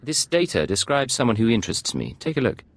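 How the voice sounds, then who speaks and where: A man's voice: medium pitch, very animated, fairly quick. A man playing a self-assured secret agent posing as nobility in a video game, clipped, controlled and suave. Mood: suave, composed. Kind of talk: scripted video game drama acting.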